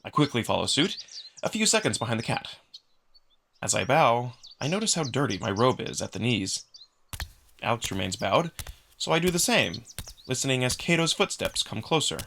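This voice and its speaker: A man's voice: medium pitch, big pitch swings, normal speed, creaky, a bit bright, slight background noise. A man giving a dramatic first-person narration of a visual novel, acting as narrator. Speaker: narrator and voice actor.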